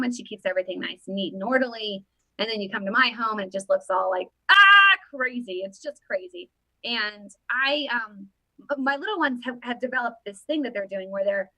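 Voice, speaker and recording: A woman's voice: mid-range voice, pushed and bright, no echo, rough recording. A woman in her thirties hosting a casual political commentary show on a webcam, rambling with many restarts. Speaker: host.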